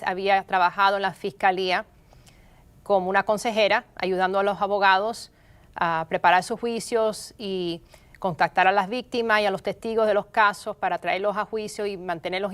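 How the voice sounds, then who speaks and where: Smooth-voiced middle-aged woman. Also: mid-range voice, steady tone, a bit bright. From Miami, of Cuban parents. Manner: measured, explanatory, earnest. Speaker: guest, state prosecutor. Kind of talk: interview answers.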